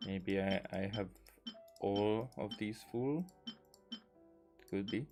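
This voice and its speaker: A man giving casual gameplay commentary in a hesitant, halting way, as if thinking aloud. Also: on the low side. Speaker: gaming video creator. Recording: game music behind the voice. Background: video game music.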